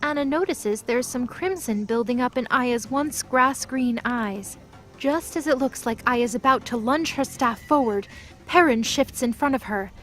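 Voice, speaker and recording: A woman's voice: a little high, a little slow, a bit bright, soft background music. A woman reading scripted present-tense narration for an audio drama.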